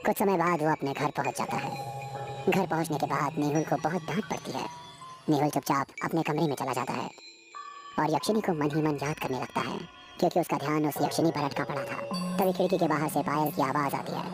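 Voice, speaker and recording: A woman's voice: low-pitched, brisk, music in the background. A woman reading a scripted horror story as its narrator.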